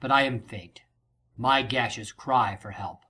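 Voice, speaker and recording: A man's voice: mid-range voice, takes it slowly, pushed and bright, breathy edge, exaggerated voice. A man playing a wounded soldier reporting on battle in declamatory Shakespearean verse for an audiobook drama. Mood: faint, weakening.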